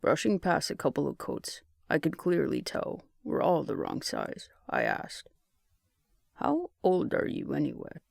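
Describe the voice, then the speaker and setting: Male audiobook narrator, higher-set voice with heavy fry, first-person story reading. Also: no room sound, clean studio sound.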